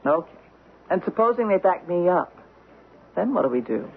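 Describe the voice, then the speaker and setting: An actress playing an insistent, excitable young American newlywed wife in a radio drama, speaking emphatically. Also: female voice, on the low side, normal speed, vocal fry, husky, exaggerated voice. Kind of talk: old-time radio drama acting. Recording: tinny, band-limited vintage radio, hissy.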